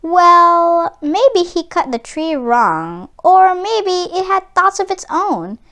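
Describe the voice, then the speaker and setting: A woman narrates a children's storybook expressively. Her voice is high and swoops up and down, and she reads at an unhurried, slow pace. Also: big pitch swings, fairly bright tone. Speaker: storyteller reading a children's book aloud.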